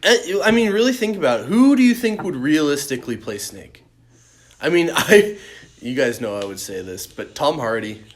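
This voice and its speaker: Animated man chatting, lively pitch. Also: normal speed. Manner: profane, animated. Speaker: podcast host. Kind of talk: casual chat.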